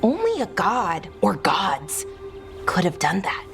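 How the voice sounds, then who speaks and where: A woman's voice: on the low side, gravelly, faint music behind, exaggerated voice. An actress playing an eager, chatty young female elven magic researcher who thinks aloud in a fantasy video game. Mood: intrigued, uneasy. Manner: excitable, halting, thinking aloud. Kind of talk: cinematic video game dialogue.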